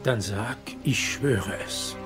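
An actor playing an old grandfather, telling treasure legends solemnly with hushed, dramatic pauses in dubbed film dialogue. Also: mid-range voice, over loud music, natural voice. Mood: ceremonial. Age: older.

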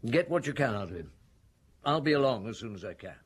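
An actor playing a shrewd, weary chief inspector in a radio drama, questioning in a measured, probing way. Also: male voice, medium pitch, very animated, a little hiss or hum, natural voice. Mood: probing, brisk.

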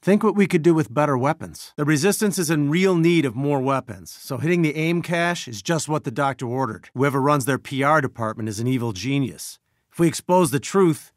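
An earnest, wry scientist heading a band of resistance fighters in a video game. His voice sits a little high for a man. He talks like a mission dispatcher, conversational yet clipped, in a very dry studio sound. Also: a bit bright, dry recording. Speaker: mission dispatcher giving orders and updates.

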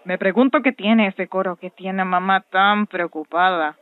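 A woman's voice: medium pitch, normal speed, natural voice. A woman voicing a sarcastic, brash half-demon big sister in a cartoon fandub, with a Latin American Spanish accent. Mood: sardonic. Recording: thin phone-like audio with music behind.

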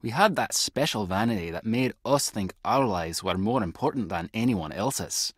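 An actor playing a secretive spy contact in a video game, with clipped, dry, theatrical delivery. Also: male voice, lowish voice, lively intonation, normal speed, husky, no echo. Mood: brisk, guarded. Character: secretive male spy contact, brisk and wry.

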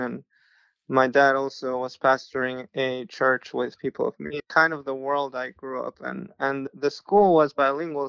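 Young guy from mexico on a podcast, gravelly but smooth, kinda flat delivery, dull dry sound. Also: medium pitch, no echo. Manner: earnest, steady telling of his life story. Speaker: podcast guest, pastor. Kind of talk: casual interview storytelling.

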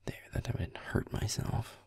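A man playing a grumpy, irritable young werewolf boyfriend in an audio roleplay, muttering sarcastically in a gravelly, breathy near-whisper. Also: normal speed, a little mellow, lightly acted. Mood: irritated, exasperated. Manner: muttering, grudging, sarcastic, sweary. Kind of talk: intimate audio roleplay over gameplay.

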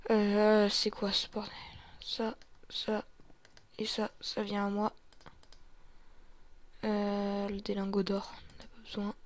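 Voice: medium pitch, monotone, takes it slowly, long pauses. A gamer narrating a zombie survival roleplay in character, muttering to themself and often dropping to a whisper. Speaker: gaming video creator playing a survivor.

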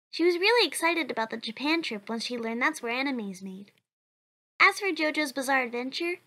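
A bright cheerful child. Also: female voice, high-pitched. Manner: bright, chatty, earnest. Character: cheerful earnest child who runs an ask blog. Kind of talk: comic dub acting.

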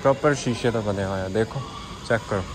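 A man's voice: lowish voice, quick pace, over music, rough recording. A man from Pakistan with a Pakistani Punjabi accent, casually explaining the sights as a local guide. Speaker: local guide to a visiting guest. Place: historic fort, museum rooms and open grounds. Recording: handheld vlog with crowd noise.

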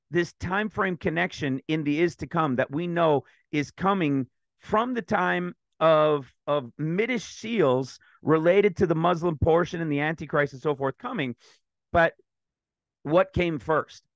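Man, Bible teacher, high voice, dry close sound, a touch raspy today, eager, talks in loose runs with restarts. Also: a little slow, no room sound. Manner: excited, rambling with restarts. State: slightly raspy throat. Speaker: ministry teacher. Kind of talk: screen-share Bible teaching.

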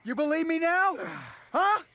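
A man's voice: very high voice, lively intonation, unhurried, pushed and bright, exaggerated voice. A man playing a frantic, hot-tempered survivor in a video game, speaking in urgent exclamations. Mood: angry. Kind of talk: video game cutscene acting.

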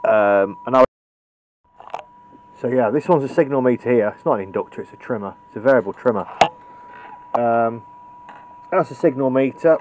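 A man's voice: medium pitch, normal speed, pauses often, a little mellow, a little hiss or hum. Blunt British man, dull lo-fi sound. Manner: blunt, opinionated. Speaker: radio repair hobbyist presenting a tutorial. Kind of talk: informal hands-on tutorial. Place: indoors at a cluttered electronics workbench. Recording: dull lo-fi camera microphone.

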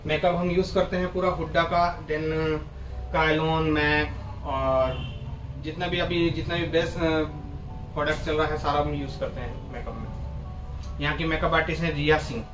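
A man's voice: fairly high pitch, steady tone, normal speed, music in the background. A man, a hairstylist giving an informal promotional walkthrough and listing services item by item. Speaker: salon hairstylist and skin specialist. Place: indoor salon with hard tiled floor. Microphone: camera a few steps away. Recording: roomy, distant mic, noisy salon.

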